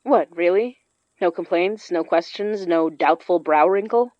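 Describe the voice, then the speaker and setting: A woman's voice: lowish voice, unhurried, darkish tone, dry recording, close to an everyday voice. A woman playing a determined, informal young spirit summoner in a horror audio drama, giving things a self-possessed, casual tone. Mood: teasing. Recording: dry, slightly dull studio sound.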